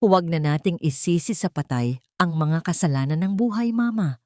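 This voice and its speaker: A woman voicing a resolute widowed heiress in a dramatic story, speaking calmly, coldly and with firm authority. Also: on the low side, normal speed, no room sound, clean studio sound, natural voice.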